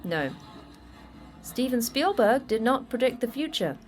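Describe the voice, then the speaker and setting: A woman playing a terse, teasing manager of a secret monster facility in a fiction podcast, with a brisk, dry, flippant delivery. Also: a little high, big pitch swings, faint music behind. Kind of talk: audio fiction podcast acting.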